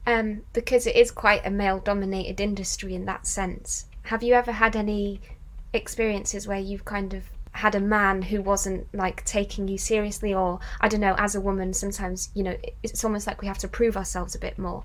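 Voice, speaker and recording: Woman, panel member, casual chat, supportive, conversational, middle pitch. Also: flat delivery, normal speed.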